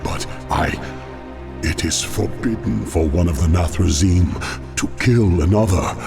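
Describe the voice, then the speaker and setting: An actor voicing a scheming male demon lord, servile yet proud, with a hissing, gravelly voice. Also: low-pitched, measured pace. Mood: alarmed. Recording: echoey game audio over score. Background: music.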